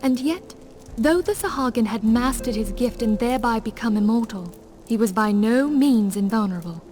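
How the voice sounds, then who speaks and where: A woman playing a composed, learned noblewoman leader in a video game, speaking formally and with measured, archaic diction. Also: fairly high pitch, expressive, darkish tone, close to an everyday voice. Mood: grave, reflective. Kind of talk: video game cutscene acting. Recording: orchestral music behind the voice. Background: background music.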